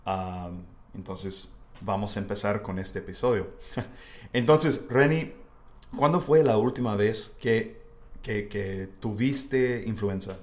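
A man's voice: on the low side, slow pace. A man from the United States, a native English speaker, hosting a casual language-learning podcast. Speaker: podcast host. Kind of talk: casual educational podcast conversation.

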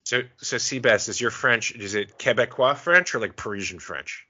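Teasing man with a bright, sharp voice. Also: medium pitch, moderate pace. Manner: teasing, joking. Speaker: podcast co-host. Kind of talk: casual chat. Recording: dull lo-fi sound.

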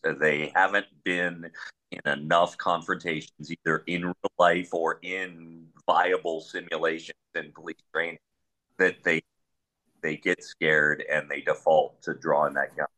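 A man with a big voice that sits very low, with a bright, forceful edge to it. He speaks bluntly and measuredly as a police trainer on a discussion panel. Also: low-quality recording.

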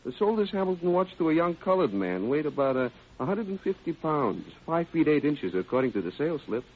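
A man's voice: fairly high pitch, sing-song, fairly quick, breathy edge. A man playing a fussy, nervous pawnbroker in a 1930s radio crime drama. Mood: insistent, uneasy. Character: fussy, nervous male pawnbroker checking records. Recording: tinny, narrow old radio recording.

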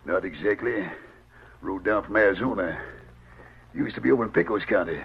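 An actor playing a weary, wary middle-aged drifter in an old radio western, with a husky voice and folksy frontier speech. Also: male voice, on the low side, a little fast, exaggerated voice. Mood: wary, contrite. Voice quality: husky, gravelly. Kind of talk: 1940s radio western acting. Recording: muffled old radio recording.

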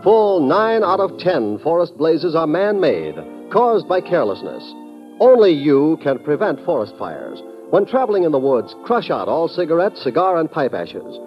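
Vintage radio announcer: American male, high and lively, dull recording with music underneath. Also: moderate pace. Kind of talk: 1950s scripted radio announcement.

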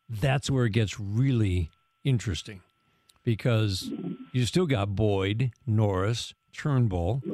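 Measured man co-hosting sports radio, dry studio. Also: fairly low pitch, darkish tone, no room sound. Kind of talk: casual sports talk radio.

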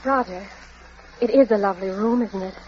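A woman's voice: mid-range voice, fairly quick, a little mellow, slight background noise, lightly acted. A woman playing a tender, yearning young wife in a 1940s American radio drama, hesitant and earnest. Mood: wistful. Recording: muffled old radio broadcast recording.

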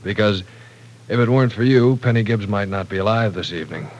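An actor playing a polite, awkward professor in an old-time radio drama, speaking hesitantly and formally. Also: male voice, on the low side, brisk, a little mellow, close to an everyday voice, poor audio. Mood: earnest, apologetic. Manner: hesitant, formal, precise wording. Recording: vintage radio broadcast.

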